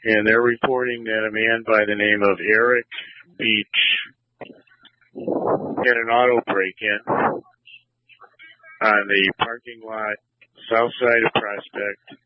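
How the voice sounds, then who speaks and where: A man's voice: on the low side, level tone, takes it slowly. A man on police radio giving terse requests and instructions as an operator coordinating units. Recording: narrow, tinny police scanner feed.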